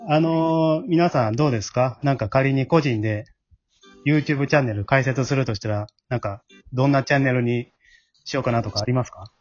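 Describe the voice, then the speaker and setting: A man's voice: mid-range voice, brisk, a little mellow, soft background music. Kansai man hosting, muffled recording. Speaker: host and writer of the radio drama. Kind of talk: casual post-show chat.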